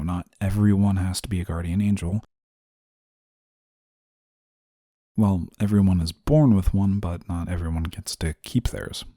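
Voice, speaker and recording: A man playing an exasperated, rule-bound but soft-hearted guardian angel in a scripted audio roleplay, scolding in a weary, formal way. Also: low voice, slightly rough, no echo, studio quality, exaggerated voice. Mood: grave, explaining. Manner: weary, scolding, formal wording, sighs often.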